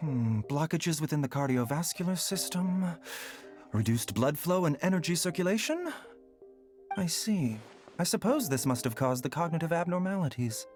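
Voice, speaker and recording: A man playing a courteous, enigmatic young doctor in a video game, measured, formal and persuasive. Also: a little high, big pitch swings, music in the background. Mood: polite, assured.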